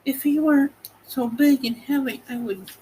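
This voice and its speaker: A man voicing a female stork in a storybook, blunt but helpful, with a slow, drawn-out way of talking. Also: very high voice, dark tone, slight background noise, pitched above the performer's own voice, rough recording. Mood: doubtful.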